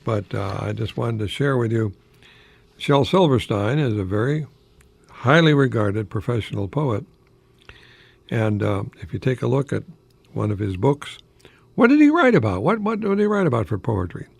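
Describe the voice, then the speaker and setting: Older American man with a creaky voice. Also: mid-range voice, sing-song, normal speed, no echo. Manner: professorial, chatty, rhetorical questions, chuckling asides. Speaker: radio host, retired college professor. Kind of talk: informal radio talk with verse recitation.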